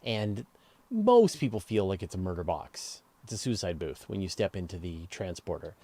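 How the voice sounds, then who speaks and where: A man's voice: low voice, big pitch swings, normal speed, dark tone, husky, no room sound. A man hosting a casual live question-and-answer show, explaining step by step in a conversational way and stressing key words. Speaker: host of a live space and astronomy Q&A show.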